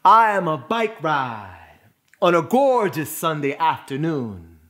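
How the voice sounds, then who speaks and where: A man delivers a spoken word monologue in a bright, high voice. His phrasing is deliberate and emphatic, with dramatic pauses, and his pitch moves widely from line to line. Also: sing-song.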